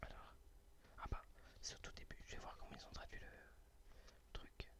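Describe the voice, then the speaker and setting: A whispered ASMR host reading poetry and chatting about books in a halting, informal way, sex unclear. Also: pushed and bright. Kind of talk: whispered ASMR poem reading and book chat.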